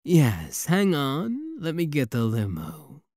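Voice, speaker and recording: A man's voice: a little high, huge pitch swings, takes it slowly, a little mellow, dry recording, clean studio sound, heavily acted. A man acting a suave, teasing demon prince in a romantic roleplay audio drama, with a courtly, flirtatious drawl and playful mock menace. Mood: suave, commanding.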